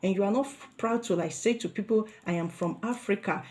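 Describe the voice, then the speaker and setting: A woman's voice: mid-range voice, brisk, darkish tone. A middle-aged Nigerian woman giving an earnest motivational talk to her viewers, repeating herself with many fillers and restarts. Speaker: vlogger.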